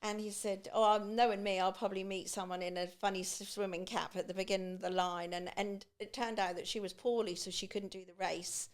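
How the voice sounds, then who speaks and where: A woman talking casually as a podcast guest. Her voice sits at a middling pitch. She chats on with many restarts and fillers. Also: flat delivery, a little fast, hardly any pauses. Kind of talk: casual conversation.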